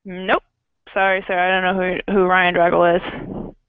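A woman's voice: lowish voice, level tone, measured pace, slight background noise. A woman answering a work call, polite, businesslike and guarded, heard through dull phone audio. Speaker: employee answering customer calls. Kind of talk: workplace phone conversation.

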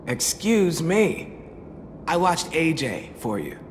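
A man's voice: on the high side, big pitch swings, takes it slowly, long reverb tail, a little hiss or hum. An actor playing an easygoing, joking teenage boy in a video game, playful, theatrical and teasing. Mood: wry.

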